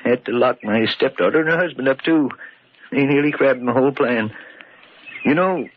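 A man's voice: medium pitch, moderate pace, natural voice. An actor playing a chatty, boastful and lonely old man with a folksy, rambling manner in old-time radio drama. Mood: boastful, gleeful. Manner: folksy, rambling, chuckling to himself. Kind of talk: 1940s radio acting. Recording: noisy, muffled old radio recording with clicks.

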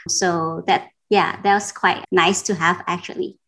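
A woman from Denmark speaking as an interview guest, casual and reflective, often pausing with "you know". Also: on the low side, steady tone, a bit bright.

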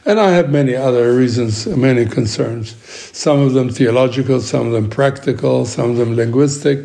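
A man's voice: mid-range voice, normal speed, gravelly, mellow. A man whose first language is Arabic, answering interview questions emphatically and acting out the exchanges he quotes. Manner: emphatic, laughs between points, acts out quoted exchanges. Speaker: interview guest, missions advocate.

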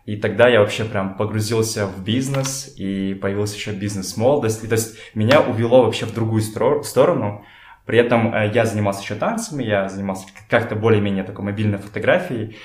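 A young man from Kazakhstan with a fairly low voice chats as a guest in an echoey room at home. He tells his stories informally, with slangy words, working out his thoughts as he goes. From Kokshetau, Kazakhstan. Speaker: podcast guest, photographer and art director. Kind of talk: casual conversational storytelling. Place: indoors in a home.

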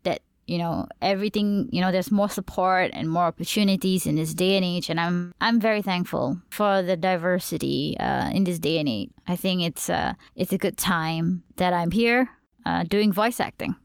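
A woman's voice: fairly low pitch, moderate pace, creaky, clean tone, dry recording, studio quality. A woman from Malaysia who is a voice actor, chatting casually as a podcast co-host and often restarting her sentences with fillers. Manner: rambling with many fillers and restarts. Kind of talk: casual chat.

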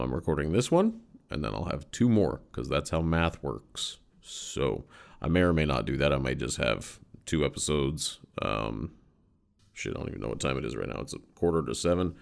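A man's voice: extremely low pitch, vocal fry. A man hosting a casual solo podcast from a hotel room on a USB condenser mic. He has a head cold that makes him stuffy and a bit nasal, and he talks in a loose, self-deprecating way and swears often. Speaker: podcast host.

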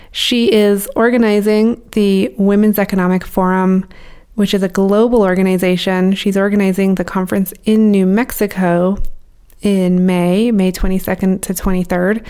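A woman's voice: mid-range voice, a little mellow, smooth. A woman hosting a podcast, asking interview questions and reading announcements in an upbeat way. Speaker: podcast host. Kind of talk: interview and sponsor reads.

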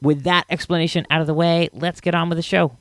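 A man hosting a podcast with a casual, halting, rambling introduction. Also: fairly high pitch, fairly even intonation, fairly bright tone, smooth, dry recording. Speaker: podcast host.

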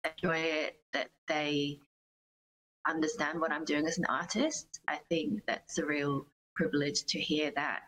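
A woman's voice: low voice, steady tone, measured pace, fairly bright tone, poor audio. A woman giving reflective, earnest interview answers as a guest musician, phrasing her thoughts carefully. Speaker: guest, singer-songwriter.

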